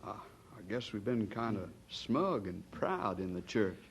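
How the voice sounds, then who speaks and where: An actor playing a friendly, plain-spoken church member in a radio drama, with a folksy, reflective manner. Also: male voice, lowish voice, expressive, a little slow, husky, full character voice. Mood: sympathetic, curious.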